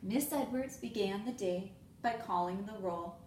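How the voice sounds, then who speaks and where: A woman reading a children's storybook aloud in an expressive way, addressing young students. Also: mid-range voice, a little slow. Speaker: school staff member reading aloud to students. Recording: roomy echo, clear background noise.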